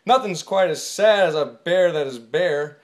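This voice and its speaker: A woman's voice: lowish voice, measured pace, lightly acted. A woman voicing a grumpy male bear in a storybook, complaining indignantly in rhyme. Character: grumpy male bear fretting about his fur.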